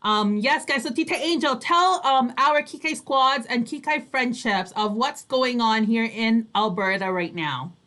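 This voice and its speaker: High, bright woman host. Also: a little slow, few pauses. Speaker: online radio show co-host. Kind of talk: casual live show chat.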